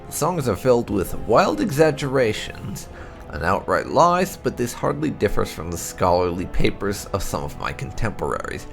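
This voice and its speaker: A man with a low voice narrates fantasy lore like a storybook, his pitch moving in lively swings. He reads deliberately and leans into dramatic emphasis, with a gravelly fry in his tone. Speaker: host of a game playthrough channel reading lore entries aloud. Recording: music behind the voice.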